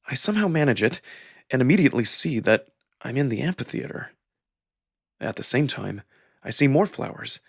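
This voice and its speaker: A man performs dramatic first-person narration for a visual novel. His medium-pitched voice moves in measured, expressive phrases, and the sound is dry with very little room on it. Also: no room sound.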